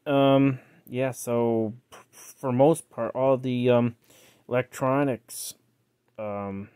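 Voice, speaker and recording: Casting: male hobbyist demonstrator, mid-pitched, rambling and unscripted, dry close handheld-phone sound. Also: steady tone, warm tone, clean tone, no room sound. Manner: halting, rambling, trails off mid-sentence. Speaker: collector showing a yard-sale cassette player. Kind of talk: casual unscripted product walkthrough. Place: indoors, close over a tabletop. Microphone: handheld phone he is filming with.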